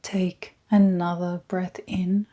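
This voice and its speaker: A woman leading a scripted guided meditation in a soft, at times breathy voice, with hushed, soothing, deliberate phrasing and frequent pauses. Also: on the low side, little pitch movement. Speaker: guided meditation leader, energy healer.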